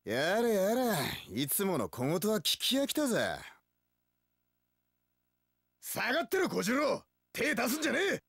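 An actor playing a cocky, cool young samurai warlord in a video game, with rough tough-guy speech and English catchphrases. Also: high voice, wildly swooping pitch, measured pace, pauses often, fairly bright tone, dry recording, studio quality, heavily acted. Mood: fired-up. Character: cocky, cool young male samurai warlord, swaggering one-eyed dragon. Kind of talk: video game battle voice lines.

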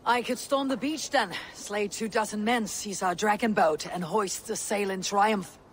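An actor playing a bold, brooding young Viking warrior in a video game, terse and formal. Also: male voice, high-pitched, measured pace, fairly bright tone, slight background noise. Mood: bold, commanding. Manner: terse, formal, archaic phrasing. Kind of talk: dramatic game cutscene acting.

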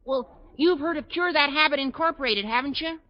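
An actor playing an eager, scheming teenage schoolboy with a gushing, mock-grandiose manner in an old-time radio sitcom. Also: male voice, extremely high pitch, fairly quick. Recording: dull 1940s radio recording.

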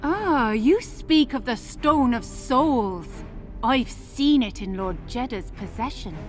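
Sultry succubus, high lilting voice, slow teasing purr, score behind. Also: female voice, expressive, soft background music. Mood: sly. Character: seductive succubus temptress, teasing and sly. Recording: game cutscene with loud score.

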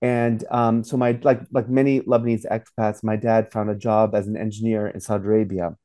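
A man's voice: lowish voice, level tone, fairly quick, warm tone. A man in his forties, an author and professor, answering interview questions hesitantly with many fillers over a video call from a home office. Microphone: video call webcam.

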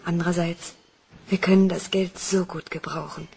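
An actress playing a young, conscience-stricken accomplice, hesitant and anxious, in a crime radio play. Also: female voice, fairly low pitch, level tone, moderate pace, creaky, a little mellow, a little hiss or hum. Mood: uneasy.

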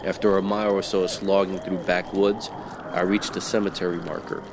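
A man playing a wry, world-weary private detective narrating a 1940s-style radio noir, terse, slangy and deadpan. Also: sits low, a little fast, background noise.

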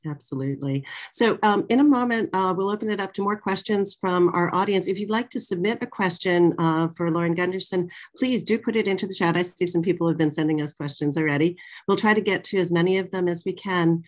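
A woman's voice: on the low side, a little fast, hardly any pauses. A middle-aged woman hosting a conversational interview over a video call from a small office. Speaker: interviewer, moderator.

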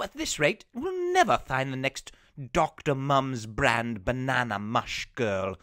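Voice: medium pitch, fairly bright tone, dry recording, clean studio sound, full character voice. A performer playing a pompous male casting director in a comedy sketch, impatient and prone to stammering. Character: exasperated male casting director for a baby-food ad.

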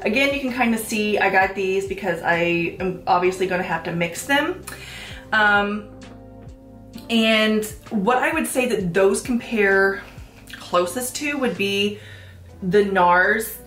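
A woman in her thirties, a bit stuffy and sniffly, talks easily through her makeup routine at a middle pitch. She fills the gaps with loose ums and likes. The room gives her voice a noticeable echo, with soft music underneath. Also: takes it slowly. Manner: chatty, relaxed, with frequent ums and likes. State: congested from allergies, sniffling. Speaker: beauty vlogger. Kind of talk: casual makeup tutorial talk. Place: small room at home, plain backdrop. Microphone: camera at head-and-shoulders framing.

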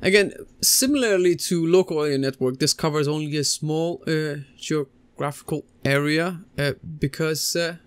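A man in his thirties explains networking over slides in a loose, chatty way, stopping on "uh" and restarting his sentences. His voice is smooth and clear, a little higher than most men's. The room sounds dry and close, recorded near a desk camera. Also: moderate pace, hardly any pauses, no echo. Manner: conversational, many uh fillers and restarts. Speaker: computing teacher. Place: small indoor room, seated at desk.

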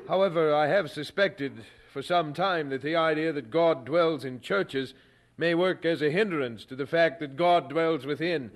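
An actor playing an earnest, polite young preacher who speaks formally in a radio drama. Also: male voice, fairly high pitch, moderate pace, lightly acted.

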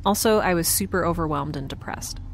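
A woman's voice: on the low side, brisk, close to an everyday voice. A woman playing a chatty, self-doubting young spacefarer in an audio drama, with a casual, hesitant delivery. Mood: anxious, apologetic. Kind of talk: naturalistic audio drama acting. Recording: faint background noise.

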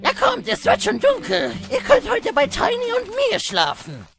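An excitable, kindly cartoon trainer played by a woman. The voice is very high and creaky, theatrical and full of exclamation, leaping widely. Music plays behind it. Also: normal speed, full character voice. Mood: friendly. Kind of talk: cartoon fandub acting.

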